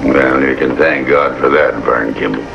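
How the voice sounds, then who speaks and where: An actor playing an older, gruff but kindly country doctor in a 1950s television drama, plain-spoken and wry. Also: male voice, a bass voice, steady tone, normal speed, dull audio, music in the background. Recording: noisy vintage 1950s television soundtrack.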